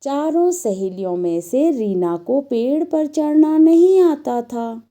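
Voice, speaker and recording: A woman speaks as a teacher telling stories to children. Her voice is high and lively in pitch, moving slowly through each phrase. She is deliberate and instructional, addressing the young listeners directly. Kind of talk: slow scripted storytelling lesson for children.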